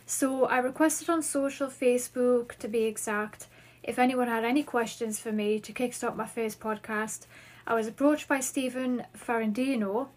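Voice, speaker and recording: A woman in her thirties with a Geordie accent from northeast England, hosting a solo podcast and chatting through listener questions. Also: fairly high pitch. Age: 30s. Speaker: podcast host.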